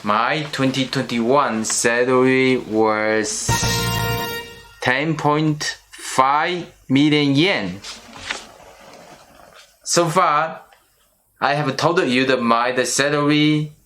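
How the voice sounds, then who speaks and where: Slow-speaking middle-aged man, Chinese accent. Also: mid-range voice, fairly bright tone, faint music behind. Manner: slow and careful, with restarts on small words. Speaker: channel host. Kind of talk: personal vlog monologue.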